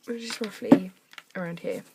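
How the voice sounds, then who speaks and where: Croaky woman showing a craft. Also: mid-range voice, animated, moderate pace, very noisy. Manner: chatty, talks while drawing, drops to soft mutters. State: croaky from a cough. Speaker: craft tutorial maker. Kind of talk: casual craft demonstration. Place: home desk, indoors.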